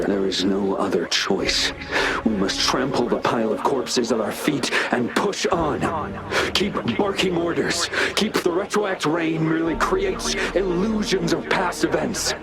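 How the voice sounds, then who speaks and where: A man voicing a grim, embittered veteran general in a video game, slow and brooding, with loud music underneath. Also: mid-range voice. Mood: defiant anger. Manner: slow, brooding, dramatic declamation.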